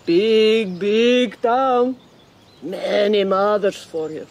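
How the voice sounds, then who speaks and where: A woman's voice: medium pitch, very slow, a little mellow. An actress playing a brash but good-hearted female seabird who speaks in clipped, broken phrases in a radio drama. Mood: reporting, weary. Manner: clipped pidgin phrasing with foreign-sounding grammar.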